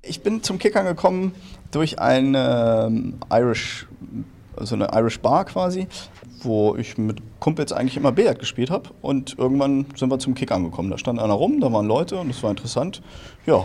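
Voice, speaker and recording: A man in his thirties speaks at an ordinary middle pitch. He sounds relaxed and thoughtful, pausing now and then to find his words. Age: 30s. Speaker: table football league player. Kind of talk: interview statements.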